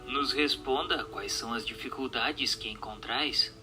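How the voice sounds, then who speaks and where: A man with a Brazilian accent giving a formal, measured reading of a scripted radio drama. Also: mid-range voice, over music. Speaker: reader of a spiritist radio drama.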